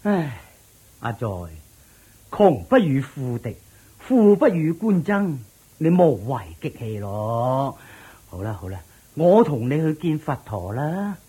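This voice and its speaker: Husky, placating court go-between. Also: male voice, a little high, very animated, slow, warm tone, full character voice. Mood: sympathetic. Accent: Hong Kong Cantonese. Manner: hesitant, placating. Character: deferential, kindly male go-between at court. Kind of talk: radio drama acting.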